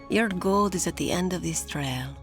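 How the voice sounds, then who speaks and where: A woman playing a gentle, reproachful estranged partner in a game cutscene, speaking in a soft, measured, wistful way. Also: on the low side, exaggerated voice. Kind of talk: dramatic game cutscene dialogue.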